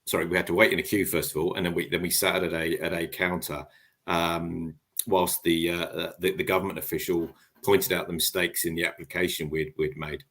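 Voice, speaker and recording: Man, very deep voice, halting with lots of ums, casual storytelling, video call. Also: level tone, a bit bright. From expat living in Japan. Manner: halting, many ums and restarts. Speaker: guest panelist. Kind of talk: casual storytelling on a live web panel.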